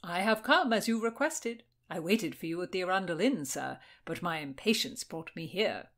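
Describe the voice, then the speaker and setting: A jaunty Tudor gentleman, played by a woman narrator, boastful and impossible to ruffle. He announces himself with flourish and coaxes like a fond uncle in a historical audiobook. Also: high voice, expressive, moderate pace. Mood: cheerfully insistent. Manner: flourishing, grandly self-announcing, cajoling. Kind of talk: audiobook character dialogue.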